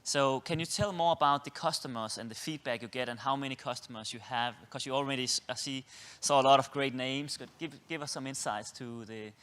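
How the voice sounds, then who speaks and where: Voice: mid-range voice, brisk, hardly any pauses, fairly bright tone. Moderator, conversational; questions ramble and restart.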